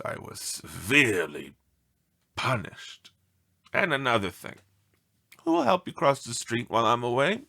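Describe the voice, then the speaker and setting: A man's voice: mid-range voice, gravelly, bright, forceful tone, exaggerated voice. A man voicing an indignant, self-pitying pet dog in a theatrical storybook read-aloud. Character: aggrieved melodramatic pet dog writing pleading letters home.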